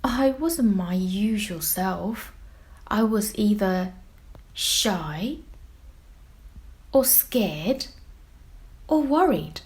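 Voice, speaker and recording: A woman voicing an earnest, childlike young squid in a children's storybook, sweet and expressive. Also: medium pitch, extremely slow, pauses often, pitched above the performer's own voice. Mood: worried, lonely.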